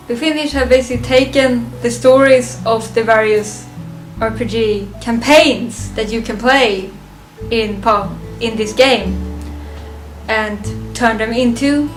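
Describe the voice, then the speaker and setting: A woman with a fairly high voice talks slowly through a casual fan review. She is unscripted and halting, working out her thoughts aloud and often chuckling, with some music behind her. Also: rough recording. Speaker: reviewer presenting a ranked list.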